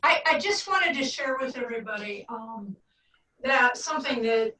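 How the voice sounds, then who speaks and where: A woman with a fairly high voice speaks on a video call from an echoey room, sitting well back from the mic. She shares information with the group in an informative way. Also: animated, normal speed, pushed and bright, distant mic. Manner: informative, sharing a reference. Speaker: meeting participant.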